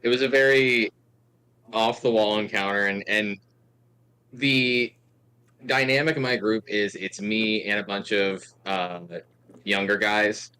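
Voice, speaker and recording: A man's voice: fairly low pitch, fairly even intonation, measured pace, sharp tone, clear, resonant tone. A man in his thirties chatting casually, with a joking manner and wry exaggeration. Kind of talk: casual chat.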